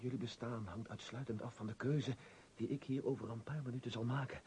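A man's voice: mid-range voice, steady tone, fairly quick, darkish tone, husky. An actor in a radio play voicing an earnest young man, urgent and intense, with a declamatory delivery. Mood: accusing. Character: earnest young man weighing his future selves, urgent. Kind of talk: radio drama acting.

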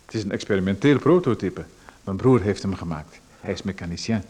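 An actor playing a pushy male salesman, lecturing smoothly and persuasively about a dubious hair machine. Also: deep voice, expressive, fairly quick, darkish tone. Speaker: radio drama actor. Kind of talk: radio play acting.

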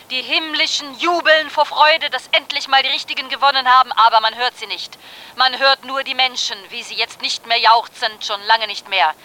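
A woman's voice: high-pitched, pushed and bright, rough recording. A woman in a radio drama playing a grim chronicler of the defeated and dead, declarative and solemn with bitter irony. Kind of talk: literary radio drama recitation.

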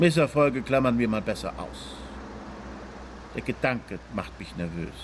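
A menacing, self-important police inspector in a radio drama. He talks down to people in a brusque, blunt way at a middle pitch, with faint urban noise behind him. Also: lively intonation, a little slow. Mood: curt. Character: bullying, ambitious male police inspector, smugly menacing.

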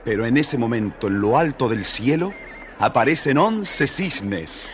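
A man narrating a scripted children's fairy tale as an expressive, dramatic storyteller. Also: medium pitch, measured pace, crowd noise.

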